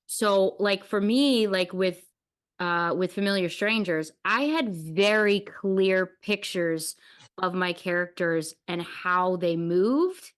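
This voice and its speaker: A woman's voice: fairly low pitch, slow pace, smooth. A woman hosting a podcast, chatting casually in an effusive, informal way. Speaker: podcast host.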